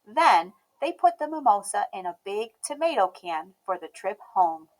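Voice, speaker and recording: A woman reading a children's picture book aloud as an expressive narrator. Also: medium pitch, normal speed, rough recording. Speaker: storybook read-aloud host.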